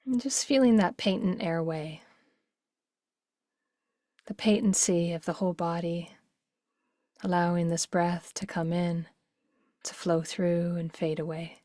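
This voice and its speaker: Low-voiced woman guiding a meditation. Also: fairly even intonation, no echo, studio quality. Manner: gentle, unhurried, soothing delivery with soft breathy phrase endings. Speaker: meditation guide. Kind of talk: guided meditation.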